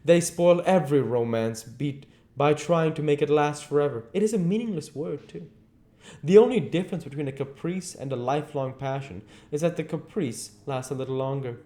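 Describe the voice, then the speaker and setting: A man's voice: on the high side, normal speed, mellow, pitched above the performer's own voice, lightly acted. A man voicing an eloquent, cynical male aristocrat with an impassioned, grandiloquent delivery in a storybook reading. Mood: dramatic, disdainful. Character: Lord Henry, cynical eloquent aristocrat preaching youth and pleasure.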